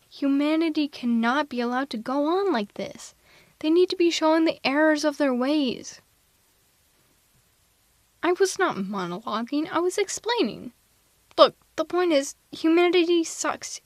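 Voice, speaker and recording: Woman acting a haughty, high-voiced female villain. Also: no room sound. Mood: zealous, exasperated. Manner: theatrical, sardonic, indignant, quick to justify herself. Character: female telepathic villain, haughty, misanthropic, secretly soft-hearted. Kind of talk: ASMR roleplay audio drama, close one-sided dialogue.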